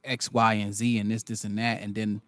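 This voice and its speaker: A man's voice: lowish voice, level tone, normal speed, fairly bright tone, no room sound. A man, a radio producer, casually explaining things in off-air studio chat.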